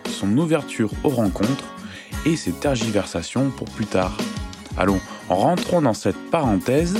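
A man hosting a casual interview outdoors in a park, asking questions haltingly with many fillers. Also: mid-range voice. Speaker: podcast host.

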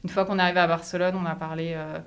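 Hesitant French woman, slightly low voice. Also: flat delivery, very fast. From France. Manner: hesitant, many fillers. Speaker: interview guest. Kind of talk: casual interview chat.

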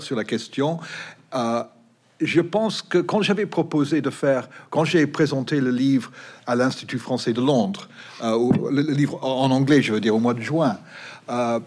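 A middle-aged British historian, English his first language, answers in a voice of ordinary pitch. His speech comes in halting bursts, and he often stops and restarts a phrase before finding the word. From Britain. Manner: hesitant, frequent restarts and fillers. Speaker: historian guest. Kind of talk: interview.

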